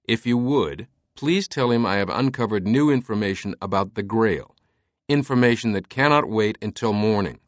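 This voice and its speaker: A man's voice: lowish voice, moderate pace, no echo, studio quality, lightly acted. A man voicing an earnest, courteous scholar who pleads politely and urgently in an audiobook. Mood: courteous, coaxing.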